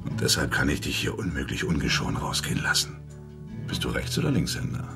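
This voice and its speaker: A man's voice: rumbling low, big pitch swings, brisk, creaky, full character voice. An actor playing a sarcastic, threatening male crime enforcer in a radio drama, sneering with controlled menace. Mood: menacing, taunting. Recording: prominent music under the voice.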